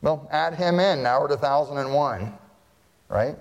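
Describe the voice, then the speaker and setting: An American pastor preaching a sermon, talking to the congregation in a conversational way and asking them frequent questions. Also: male voice, fairly high pitch, moderate pace, creaky, long reverb tail, dull audio. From United States. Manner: conversational, asks the audience questions.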